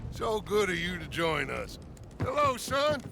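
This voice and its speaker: An actor playing a scheming, self-satisfied older American outlaw leader in a video game, with theatrical, oratorical delivery. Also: male voice, high voice, big pitch swings, measured pace, creaky, over loud music, full character voice. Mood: smug, mocking. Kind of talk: dramatic video game acting.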